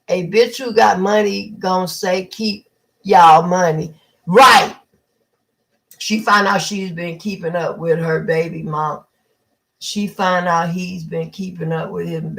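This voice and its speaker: A woman's voice: a little high, fairly bright tone, poor audio. A woman, hosting a casual livestream and talking bluntly with mocking impressions. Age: 50s. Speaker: livestream host.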